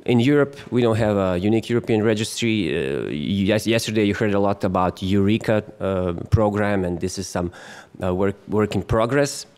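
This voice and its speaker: A man's voice: lowish voice, moderate pace, hardly any pauses, darkish tone, smooth. Croatian-accented man giving a medical lecture. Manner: hesitant, many fillers and restarts, jokes with the audience. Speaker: physician lecturer. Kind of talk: conference lecture. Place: conference hall.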